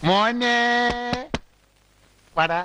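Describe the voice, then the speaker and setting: An actor playing an excitable, teasing comic schemer in film comedy dialogue. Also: male voice, sits high, drawn-out words. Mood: excited. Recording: noisy audio lifted from film.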